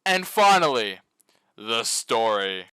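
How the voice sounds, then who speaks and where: A man's voice: medium pitch, very animated, slow, sharp tone, clean tone, dry recording. A man narrating a comedic scripted review as a video essayist, mock-serious, sarcastic and sweary.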